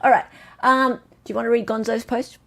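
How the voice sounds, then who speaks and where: An Australian woman hosting a casual live-stream chat, excitable and talkative, reading out viewers' comments. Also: a little high, a little fast. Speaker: livestream host.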